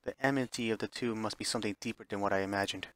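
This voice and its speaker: A man's voice: on the low side, fairly even intonation, very fast, fairly bright tone, dry recording. A man reading a visual novel aloud as dramatic narration, sometimes stumbling and repeating phrases. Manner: stumbles over words and re-reads phrases.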